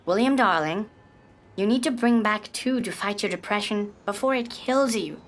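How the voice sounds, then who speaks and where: A woman playing the gentle, motherly good side of a young man's mind, who speaks to him with affection and soothing words. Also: mid-range voice, normal speed, lightly acted. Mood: urging. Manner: soothing, affectionate, calls others darling.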